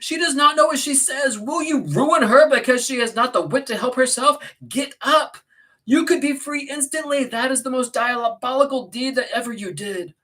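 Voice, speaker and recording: Storybook role: male reader as frantic female servant, high, urgent, scolding, outspoken. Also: normal speed, bright, forceful tone, low-quality recording. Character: agitated, scolding woman servant, anxious and outspoken. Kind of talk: dramatic audiobook character reading.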